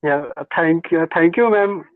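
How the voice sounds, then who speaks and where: A native Hindi speaker learning English, speaking in halting English and answering "yes, ma'am" again and again. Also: mid-range voice, dry recording. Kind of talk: beginner conversation practice. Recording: tinny phone line.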